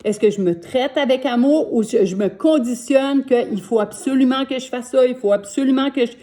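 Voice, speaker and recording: Québécois woman coach, sixties, mellow warm tone, earnest and repetitive. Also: high voice, moderate pace. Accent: Québécois French. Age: 60s. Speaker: life coach. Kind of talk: motivational self-help talk to camera. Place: home.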